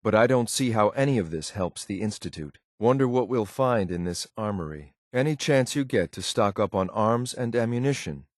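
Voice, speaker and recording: A man acts out a stern, dutiful android soldier from a video game. His voice sits on the low side, with formal, restrained military phrasing. The sound is dry, with no room around it. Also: lively intonation, moderate pace, hardly any pauses, no room sound, close to an everyday voice. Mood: detached, businesslike. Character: stern, dutiful male synth soldier, coolly loyal. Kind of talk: scripted game companion dialogue.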